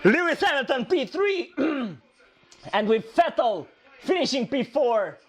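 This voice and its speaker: Hoarse excitable man reacting to a race. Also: very high-pitched, takes it slowly. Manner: excitable, shouting at overtakes, repeating phrases. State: hoarse, losing his voice. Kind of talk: live race reaction commentary.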